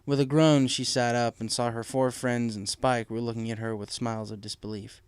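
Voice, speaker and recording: A man's voice: medium pitch, flat delivery, normal speed, clear, resonant tone, dry recording. A man reading scripted story narration as the narrator of a fan fiction audiobook. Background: music.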